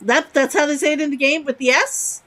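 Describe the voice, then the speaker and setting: A woman's voice: high voice, fairly even intonation, a little fast, a bit bright. A young woman streaming a game, chatty and giggly, repeating words aloud to test how they sound. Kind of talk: live game commentary.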